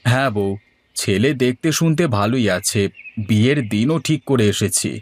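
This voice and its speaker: A man voicing a calm middle-aged father in a cartoon, speaking with measured authority. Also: mid-range voice, close to an everyday voice. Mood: matter-of-fact. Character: calm, deep-voiced middle-aged father arranging daughter's wedding. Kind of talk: Bengali cartoon dialogue.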